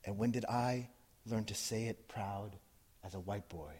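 A man from New Jersey who works as a poet. He gives an intense, measured reading of his poems and then talks casually with a live audience, laughing as he goes. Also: lowish voice, darkish tone. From New Jersey, USA. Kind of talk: poetry reading and audience talk. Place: live event in front of an audience.

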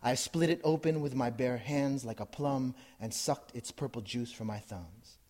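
Poet guy from jersey, mid-pitched voice, reads slow and intense then gets chatty with the crowd. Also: a little mellow. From New Jersey, USA. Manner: measured, intense recitation, then chatty and laughing with listeners. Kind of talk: poetry reading and audience talk. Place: live event in front of an audience.

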